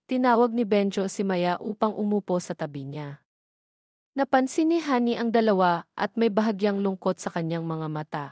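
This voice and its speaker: Female storyteller, mid-pitched voice, dry studio-like sound, scripted narration. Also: a little mellow, dry recording. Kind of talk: scripted story narration, reading dialogue herself.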